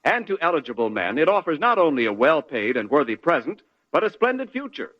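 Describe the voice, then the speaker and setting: A man's voice: a little high, big pitch swings, a little fast. A man with an American accent announcing in 1940s radio style. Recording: muffled old radio recording, audience behind.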